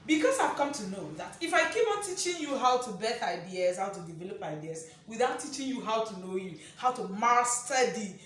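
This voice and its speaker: Loud high-voiced woman teaching in echoey room. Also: big pitch swings, fairly quick, few pauses. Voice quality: loud, projecting voice. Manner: emphatic, repeats key phrases, asks listeners rhetorical questions. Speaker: motivational speaker and presenter. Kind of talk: motivational teaching talk. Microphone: distant camera mic, no microphone on speaker. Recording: echoey room, distant mic, faint noise.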